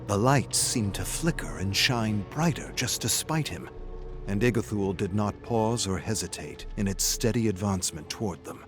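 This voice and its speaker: A man's voice: fairly low pitch, expressive, normal speed, soft background music. A man reading scripted horror fiction as a narrator, in a dramatic, suspenseful style.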